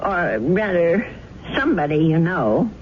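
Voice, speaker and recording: A woman's voice: fairly low pitch, very animated, measured pace, a little hiss or hum. An actress playing an elderly widowed landlady, fretful and hesitant, in a dramatic 1970s radio mystery style. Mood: earnest, polite. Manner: hesitant, fussing, dramatic, talks to herself. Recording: dull vintage radio recording with loud music.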